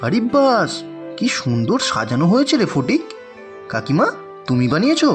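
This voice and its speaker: Woman putting on a brash low cartoon boy. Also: huge pitch swings, normal speed, over music. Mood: boastful. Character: boisterous boy, the grandson's friend. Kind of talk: Bengali cartoon voice acting.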